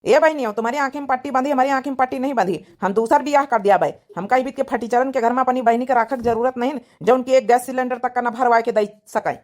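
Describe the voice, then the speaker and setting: A woman's voice: sits high, fast, few pauses, darkish tone, close to an everyday voice. A woman voicing a hot-headed, protective young village brother in a cartoon comedy drama, insistent and argumentative with an Awadhi accent. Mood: angry, scornful.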